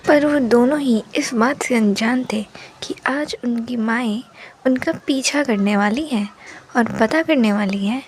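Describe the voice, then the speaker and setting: High-ish female storybook narrator. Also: measured pace, slight background noise. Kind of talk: scripted children's story narration.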